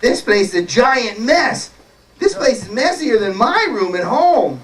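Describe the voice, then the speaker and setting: A storyteller playing an excitable, innocent young boy in a children's tale, recorded at a distance with noise. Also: mid-range voice, unhurried, voice pushed up. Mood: amazed. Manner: wide-eyed, exclamatory. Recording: noisy, distant microphone.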